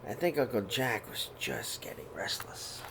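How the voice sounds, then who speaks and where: Gentle man reading a picture book aloud. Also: mid-range voice, sing-song, slight background noise. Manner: gentle, unhurried storybook reading with throat clears and restarts. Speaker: read-aloud host for young children. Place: living room, seated on a sofa before curtains. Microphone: webcam or laptop camera at close range.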